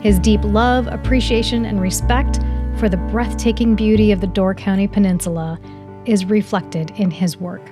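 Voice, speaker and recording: A woman's voice: medium pitch, steady tone, normal speed, music in the background. A woman hosting a podcast, reading a guest's introduction and then interviewing him with effusive praise. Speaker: podcast host.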